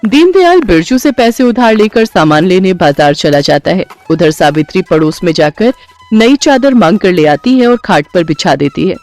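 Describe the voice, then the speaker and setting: A man's voice: high voice, lively intonation, normal speed, darkish tone. A man narrating a scripted moral story, with music playing behind him. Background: background music.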